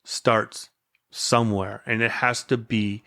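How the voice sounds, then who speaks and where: A man's voice: fairly low pitch, little pitch movement, slow pace, bright, forceful tone. Earnest man talking about self-belief. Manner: earnest, speaks like giving a speech; stutters at times. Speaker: podcast guest. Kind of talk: casual conversation.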